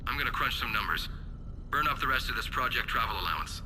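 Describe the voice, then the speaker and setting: A male field scientist recording a log in a sci-fi game. He sounds informal and keen, thinking aloud at an average pitch. Clear noise runs behind the voice. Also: lively intonation, quick pace, pushed and bright. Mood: musing, eager. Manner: informal, musing. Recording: noisy field audio log.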